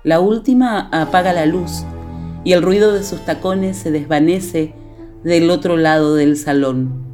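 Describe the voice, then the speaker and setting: A woman's voice: deep voice, dark tone. A woman delivering scripted narration for a radio play, as its narrator.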